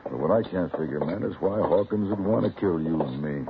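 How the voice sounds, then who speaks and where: An actor playing a weathered frontier sheriff with plain homespun speech in a 1950s radio drama. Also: male voice, a bass voice, a little fast. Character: weathered frontier sheriff, middle-aged man. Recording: tinny old radio broadcast with hiss.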